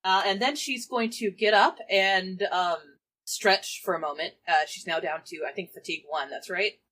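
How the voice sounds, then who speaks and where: A woman's voice: medium pitch, normal speed, a bit bright, slight background noise. A woman playing a tabletop role-playing game, casually narrating and speaking for her character. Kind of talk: casual improvised role-play.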